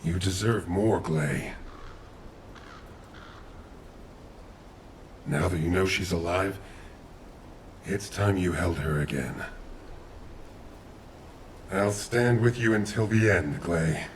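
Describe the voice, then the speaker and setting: A man's voice: low voice, slow pace, pauses often, a little hiss or hum. A man playing a loyal, solemn, protective warrior in a dramatic video game. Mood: solemn, supportive.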